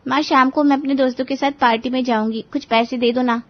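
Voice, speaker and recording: A woman's voice: sits high, fairly even intonation, fast, muffled recording, natural voice. A woman voicing a young daughter of the house in a story drama, pleading and whining. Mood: coaxing. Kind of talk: Hindi moral-story audio drama.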